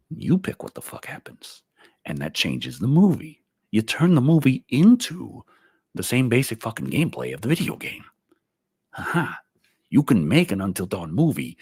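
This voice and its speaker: A man with a husky voice chats casually as a livestream host. His pitch jumps around a great deal as he rambles excitably, repeating phrases and swearing freely. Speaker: livestream host and film reviewer.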